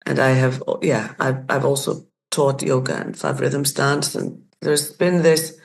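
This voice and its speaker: A woman's voice: extremely low pitch, expressive, measured pace, gravelly. A woman in her sixties from Iceland, telling her life story thoughtfully as a podcast guest. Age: 60s. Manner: thoughtful, restarts and searches for words. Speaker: guest, community facilitator. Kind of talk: reflective personal story.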